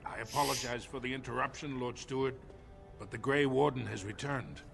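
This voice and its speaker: Game role: deferential dwarf herald played by a man, middle pitch, gravel in the voice, formal and apologetic. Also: steady tone, brisk, over music, close to an everyday voice. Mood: apologetic, hurried. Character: deferential male dwarf messenger interrupting an assembly. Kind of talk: video game character acting.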